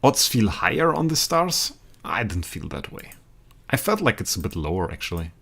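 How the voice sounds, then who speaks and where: German guy streaming a game, lowish voice, swings up and down a lot, repeats himself, laughs a lot. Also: moderate pace, creaky. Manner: rambling, repeats himself, laughs often, sudden excited outbursts. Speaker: livestreaming gamer. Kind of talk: casual live stream commentary, chatting with viewers.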